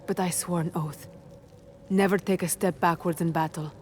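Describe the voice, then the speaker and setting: Proud rebel warrior woman female actor: lowish voice, solemn, formal delivery, game cutscene with music underneath. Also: steady tone, moderate pace, close to an everyday voice. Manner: formal, declarative. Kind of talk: video game cutscene acting.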